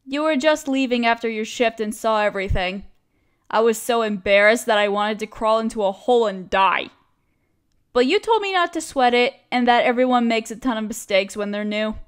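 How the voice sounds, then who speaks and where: A cute young female barista played by a woman with a high, girlish voice. She chats expressively in a casual audio roleplay, a friendly coworker who teases and complains playfully. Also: smooth, natural voice. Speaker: voice actress. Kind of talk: scripted one-sided audio roleplay, casual chat.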